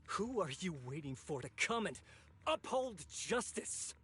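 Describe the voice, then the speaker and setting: An actor playing an ambitious, ruthless zealot in a video game, husky-voiced, bitter and taunting in a theatrical way. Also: male voice, sits high, sing-song, unhurried, fairly bright tone, exaggerated voice. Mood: fervent, persuasive. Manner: theatrical, taunting, sneering villain delivery. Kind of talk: dramatic video game voice acting.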